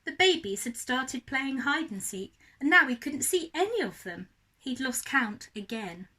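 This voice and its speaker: A woman reading a story aloud as a primary teacher would, expressively and deliberately for young children. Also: high-pitched, sing-song, bright, forceful tone.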